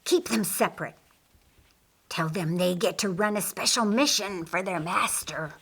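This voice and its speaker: A woman voicing a scheming, gloating male fallen angel in an audiobook, whispery and drawn-out. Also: lowish voice, fairly bright tone, slightly rough, full character voice. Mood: scheming, commanding. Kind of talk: audiobook character acting.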